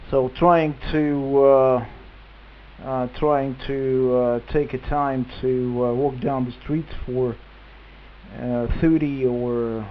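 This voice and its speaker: A Russian-accented man chats as a radio amateur, slowly and haltingly, feeling for his words. The sound is a little dull, with faint noise around his mid-pitched voice. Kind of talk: casual ham radio chat. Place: at home at a computer. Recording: muffled, slightly noisy radio link recording.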